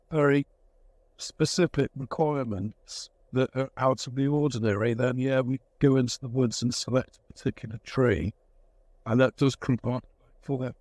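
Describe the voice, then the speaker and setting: A man talking casually as a craftsman being interviewed, with a thoughtful, halting way of phrasing things. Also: medium pitch, a little mellow, dry recording.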